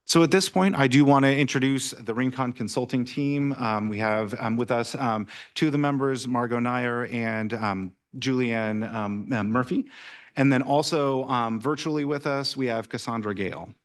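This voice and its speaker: Halting man presenting to council. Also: mid-range voice. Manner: halting, many ums and restarts. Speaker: city planning staff. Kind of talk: staff presentation at council meeting.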